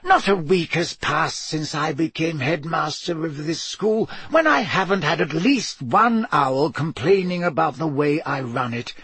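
A man voicing an elderly headmaster with a dry, ironic, measured way of talking. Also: on the high side, expressive, fairly bright tone, slightly rough, dry recording, pitched above the performer's own voice, full character voice. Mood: wry. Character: elderly male headmaster, wry and gently stern.

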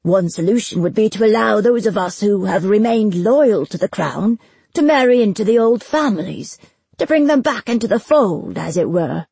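A woman's voice: medium pitch, lively intonation, normal speed, gravelly, warm tone, husky, dry recording, heavily acted. Woman acting out a pompous blustering male bailiff. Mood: pompous, ingratiating. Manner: pompous, formal courtly wording.

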